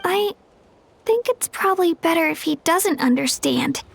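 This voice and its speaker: An actress playing an earnest, timid young girl in a game cutscene, gentle and hesitant. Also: very high voice, lively intonation, unhurried, creaky, full character voice.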